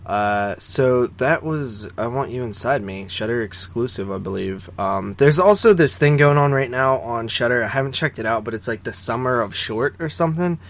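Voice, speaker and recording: A man's voice: mid-range voice, lively intonation, normal speed, few pauses. Rambling, opinionated man in casual film chat. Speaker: podcast co-host reviewing horror films. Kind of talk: casual conversational film discussion.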